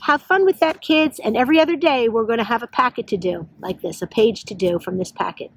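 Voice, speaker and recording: A woman's voice: fairly high pitch, fairly quick. A woman teacher leading an outdoor lesson for young children, talking and reading aloud in simple, sing-song phrases. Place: outdoors on a nature walk. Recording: clearly noisy outdoor recording.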